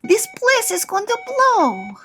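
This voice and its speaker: A woman's voice: very high voice, huge pitch swings, takes it slowly, music in the background, full character voice. A woman playing a formal, sardonic, commanding leader in an audio drama. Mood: urgent.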